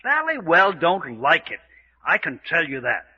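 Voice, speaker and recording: An actor playing a plucky, indignant British gentleman adventurer in a radio mystery, clipped and proper. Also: fairly high pitch, wildly swooping pitch, unhurried, husky, exaggerated voice. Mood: tense, plucky. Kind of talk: old-time radio mystery acting. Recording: dull lo-fi radio recording.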